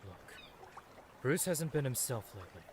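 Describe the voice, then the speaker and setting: A man's voice: mid-range voice, very animated, moderate pace, background noise, lightly acted. A man playing a protective, earnest vigilante mentor in an audio drama. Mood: urgent, sincere.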